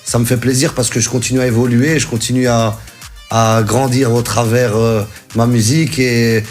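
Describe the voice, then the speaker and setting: A young man, chatting as a guest in a radio studio. His pitch is mid and his talk relaxed, broken by fillers and repeated little phrases. Faint music sits behind him. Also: moderate pace. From Belgium. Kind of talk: casual interview talk.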